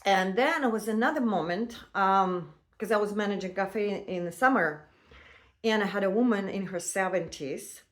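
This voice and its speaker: Woman in her fifties, personal vlog, mid-pitched, halting and chatty, non-native phrasing. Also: a bit bright. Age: 50s. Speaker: vlogger. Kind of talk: casual personal storytelling.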